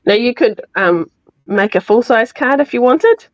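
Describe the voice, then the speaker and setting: A woman with a New Zealand accent, sounding croaky from illness, chatting through a live crafting tutorial step by step. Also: a little high, lively intonation, measured pace, no room sound, dull audio. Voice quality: slightly hoarse. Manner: chatty, explains step by step with fillers. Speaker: craft demonstrator.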